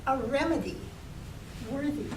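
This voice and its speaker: A woman poet reading her own work to an audience, phrasing it in a measured, deliberate way. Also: lively intonation. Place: public library reading room. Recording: roomy, distant mic, noisy with rumble.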